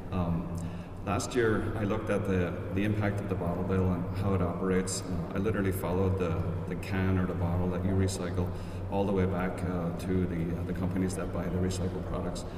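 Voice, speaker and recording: A middle-aged Irish man with a very low voice talks slowly and carefully, stopping often on fillers. He is picked up from a distance in a large, reverberant hall, and noise runs underneath. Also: flat delivery. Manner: measured, careful, with many fillers and restarts. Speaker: economist presenting a study. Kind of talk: press conference remarks. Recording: echoey hall, distant mic, background noise.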